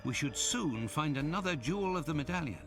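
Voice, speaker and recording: A sceptical gentleman companion in a detective game. The voice is slightly high and very varied in melody. He is courteous, formal and wry, over clearly audible music. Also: animated, brisk. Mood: concerned, questioning. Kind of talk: video game cutscene acting. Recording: loud music under the voice.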